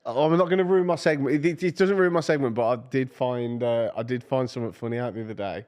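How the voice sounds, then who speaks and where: A man's voice: mid-range voice, fast talker, a little mellow. A young man, a podcast co-host who laughs through his stories and insists on them. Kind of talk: casual banter among friends.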